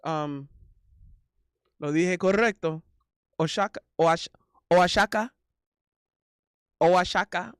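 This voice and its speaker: A man's voice: high voice, extremely slow, long pauses, clean tone, no echo, studio quality. A man from Miami with Haitian family, native English speaker, hosting a casual livestream and chatting with hesitant ums, switching between Spanish and English. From born in Miami, Haitian family. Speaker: livestream host and singer.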